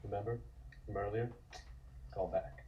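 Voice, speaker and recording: A man's voice: fairly low pitch, steady tone, measured pace, lo-fi sound. A man reading scripted scene narration for a comic table read.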